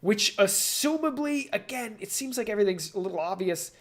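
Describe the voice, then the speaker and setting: A man co-hosting a casual pop culture discussion, thinking out loud and posing rhetorical questions. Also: sits high, animated, brisk. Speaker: podcast co-host.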